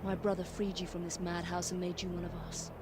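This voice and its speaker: An actress playing a determined but troubled assassin hunting a killer in a video game, brooding and tense, amid loud background noise. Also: female voice, fairly low pitch, level tone, a little fast, loud music behind the voice, close to an everyday voice. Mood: grim resolve. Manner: tense, brooding, self-questioning. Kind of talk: dramatic video game acting, inner monologue. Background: loud ambient noise.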